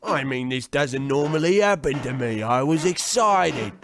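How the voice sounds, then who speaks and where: A man's voice: medium pitch, lively intonation, a little slow, a crowd in the background. A man playing a vain, melodramatic talking slot machine in an old-time radio play parody, declaiming in a pompous, overwrought way. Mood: sheepish.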